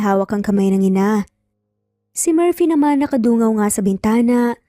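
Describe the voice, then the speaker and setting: A woman narrates a scripted dramatic story in a fairly high, mellow voice. The recording is dry and close, with faint music underneath. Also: no echo.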